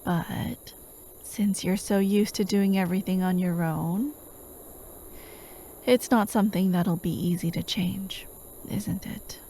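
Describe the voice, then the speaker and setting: A woman playing a slow, soft-spoken doting hypnotist. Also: mid-range voice, slight background noise. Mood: soothing, wistful. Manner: gentle, coaxing, often dropping into whispers. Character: elusive female hypnotist, a doting, possessive, soothing captor. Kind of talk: soft-spoken ASMR roleplay. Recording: steady outdoor night ambience, chirping crickets. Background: crickets and night insects.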